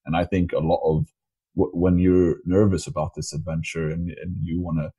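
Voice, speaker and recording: A man from Sweden with a Swedish accent, hosting a podcast conversation, soft-spoken and stammering over words. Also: low voice, level tone, normal speed, husky. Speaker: podcast host. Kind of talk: casual podcast interview.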